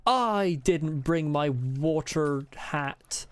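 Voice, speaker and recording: A man's voice: fairly high pitch, expressive, very slow, clean tone, no room sound. A man with a mild Scottish accent, chatting casually while streaming a game, rambling and swearing bluntly as he rants. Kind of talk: casual live-stream chat while gaming.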